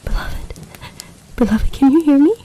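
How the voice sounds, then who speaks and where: Woman voicing a mellow, regal snake empress. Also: high-pitched, moderate pace, slight background noise, close to an everyday voice, rough recording. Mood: anxious, tender. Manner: formal, stately, dramatic phrasing. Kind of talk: roleplay audio drama.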